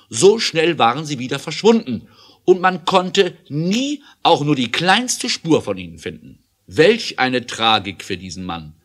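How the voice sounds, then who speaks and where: A man's voice: mid-range voice, fairly bright tone. A man narrating an adventure audio drama in an emphatic, theatrical way.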